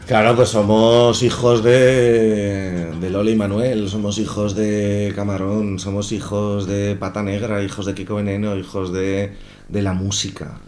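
A man, a musician guest talking about his record in a casual radio interview, lively and emphatic. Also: lowish voice, a little slow, a little hiss or hum. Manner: lively, emphatic, laughs easily. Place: radio studio.

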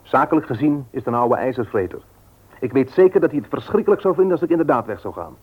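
Firm married nephew, quick, husky, frank and formal, lo-fi radio play. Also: male voice, mid-range voice, animated. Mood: hard-headed. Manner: formal, emphatic, argumentative. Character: firm, frank married nephew running his uncle's business. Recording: dull, lo-fi old radio recording.

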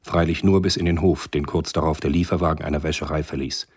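A man with a rough, husky voice narrating a German radio thriller from a script in a measured, matter-of-fact way. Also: extremely low pitch, steady tone.

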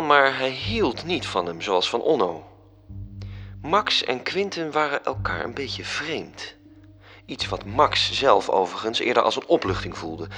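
A man narrating a literary radio drama in a measured, serious storytelling manner. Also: lowish voice, a bit bright, heavily acted.

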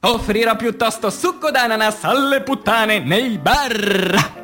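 Slow, high-voiced man declaiming poetry. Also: animated, sharp tone, soft background music. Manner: declamatory, solemn, with drawn-out phrases and long pauses. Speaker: actor reciting poetry. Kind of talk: dramatic theatrical poetry recitation for radio theatre.

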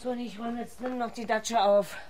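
A fairly high-voiced, stressed woman in her forties, acted by a woman, bossy and confrontational in a radio play. She talks brusquely and schemes, over clear background noise. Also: fairly even intonation, fairly quick, darkish tone, close to an everyday voice. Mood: scheming. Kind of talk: German radio drama acting.

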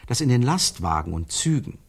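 Radio play: a probing, insistent man, mid-pitched and husky, circling his point with emphatic repeats. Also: big pitch swings. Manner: emphatic, repetitive, probing, pausing for effect. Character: insistent, searching man theorising about an absent woman. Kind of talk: radio play dialogue, rhetorical repetition.

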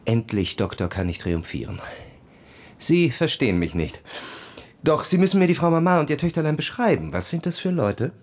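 An actor playing a proud, bored, cynical army officer who tells his story in a cool, measured, ironic way for a radio play. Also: on the high side, very animated, a little hiss or hum. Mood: scheming. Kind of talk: literary radio play narration and dialogue.

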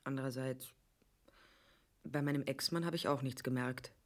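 A woman's voice: rumbling low, fairly even intonation, moderate pace. An actress playing a tough, sardonic female private detective in a radio drama, dialogue and first-person narration, dry and wry. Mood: wry, bitter. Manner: dry, wry, hard-boiled.

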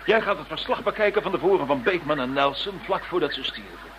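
A male actor plays an anxious, protective investigator in a radio play. His voice sits fairly high, and he hesitates and repeats himself in agitation. There is faint noise behind him. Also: animated, quick pace, dull audio, lightly acted. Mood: worried.

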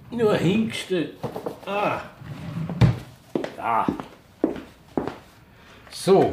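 Sharp-tongued Austrian drag queen. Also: mid-range voice, background noise, full character voice. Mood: reminiscing. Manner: theatrical, teasing. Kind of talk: naturalistic radio drama dialogue.

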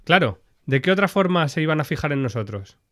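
A man's voice: on the high side, sing-song, brisk, a bit bright. An actor playing a fed-up male protest organiser in a scripted satirical audio drama, with music behind. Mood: conspiratorial, wry.

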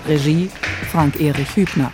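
A woman announces a radio play in a scripted read. Her voice is fairly low, with music clearly playing behind it. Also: unhurried.

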